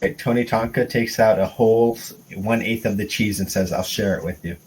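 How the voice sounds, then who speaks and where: A man's voice: on the low side, fairly quick, a little mellow, a little hiss or hum, poor audio. A man chatting casually as a player in a tabletop roleplaying game.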